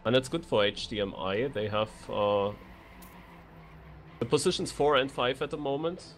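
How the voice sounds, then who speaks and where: Mid-pitched male esports co-commentator, halting delivery. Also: a little hiss or hum. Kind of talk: live video game race commentary.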